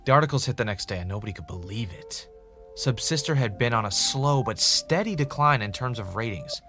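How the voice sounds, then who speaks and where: Under a clear music bed, an actor plays an obsessive, anxious fan piecing together a mystery. He has a mid-pitched male voice and talks in an earnest, rambling, conversational way for a podcast drama. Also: lively intonation, brisk, sharp tone. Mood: bitter, reflective. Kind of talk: fiction podcast narration, conversational.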